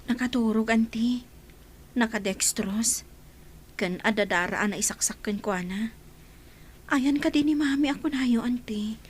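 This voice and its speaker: High-voiced gentle young Ilocano granddaughter played by a woman. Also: measured pace, pauses often, a little hiss or hum, natural voice. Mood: concerned. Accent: Ilocano Filipino. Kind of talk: audio drama acting.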